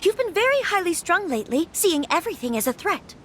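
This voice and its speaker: An actress playing a composed young noblewoman who speaks calmly, firmly and articulately, in a studio game. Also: very high voice, a little fast, slight background noise, heavily acted. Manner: calm, firm, articulate. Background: music.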